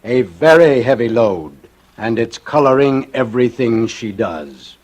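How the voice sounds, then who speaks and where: Husky, grave radio-drama adviser. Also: male voice, mid-range voice, animated, darkish tone. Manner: measured, stern, deliberate. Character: grave, blunt male professional adviser. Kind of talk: 1940s radio suspense acting.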